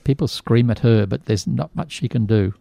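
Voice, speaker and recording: Older man, gravelly, dark mellow voice, opinionated, dry studio sound. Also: medium pitch, expressive, moderate pace, no echo. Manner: opinionated, restarts often. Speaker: radio show co-host. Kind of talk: casual radio chat.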